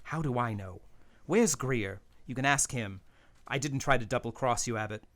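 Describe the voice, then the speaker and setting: A man's voice: medium pitch, normal speed, fairly bright tone, close to an everyday voice. A man playing a cold, haughty swindler who poses as a palm reader, in an old-time radio drama. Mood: scheming. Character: smooth, scheming male swindler posing as palm reader.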